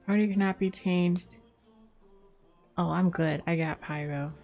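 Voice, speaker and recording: Slow, mellow-voiced woman streamer. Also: fairly low pitch, fairly even intonation, faint music behind. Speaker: game streamer. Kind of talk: casual livestream commentary on a video game.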